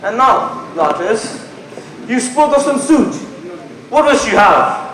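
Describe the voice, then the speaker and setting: A young man playing a formal king and stepfather in school stage Shakespeare, declaiming in measured phrases. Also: very high voice, very animated, a bit bright, recorded from a distance, exaggerated voice. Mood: stately. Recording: roomy, noisy stage recording from afar.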